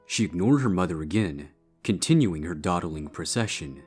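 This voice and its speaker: A man narrates a scripted story in a very low voice with a husky, rough edge. Music plays clearly underneath his reading. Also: animated, normal speed, darkish tone. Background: background music.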